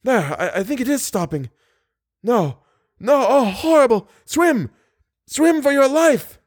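A man voicing a terrified grown man who is drowning and shouting desperately for help in a strained, high voice. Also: sing-song, unhurried, dry recording, clean studio sound, heavily acted. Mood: panicked.

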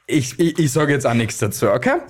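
A man's voice: mid-range voice, lively intonation, fairly quick, husky. A man co-hosting a podcast in joking, teasing casual chat, laughing often. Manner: teasing, laughs often, breaks into silly put-on voices. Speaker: podcast co-host.

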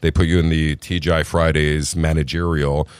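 A middle-aged man with a very low, deep voice tells his story in an easygoing, chatty way. The sound is dry and close, with no room around him. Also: moderate pace, clean tone, no room sound. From New Jersey. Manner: relaxed, chatty, calls the host "brother". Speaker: restaurant group founder, podcast guest. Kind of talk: casual interview storytelling.

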